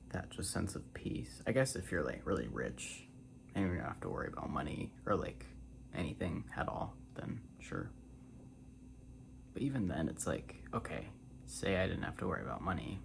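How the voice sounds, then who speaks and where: A man in his twenties rambling casually in a soft-spoken ASMR style, drifting between a breathy murmur and a half-whisper. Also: low voice, background noise. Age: 20s. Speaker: ASMR creator.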